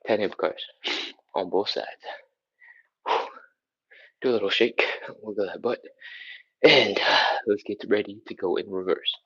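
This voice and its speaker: A very low-voiced man with a cold leads a home stretching session, counting reps in short cues between breathy sighs. The sound is dull and lo-fi, like a webcam mic across the room. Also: measured pace, long pauses. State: sniffly from a cold. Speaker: stretching instructor. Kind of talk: live-streamed workout coaching. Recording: slightly dull, lo-fi stream audio.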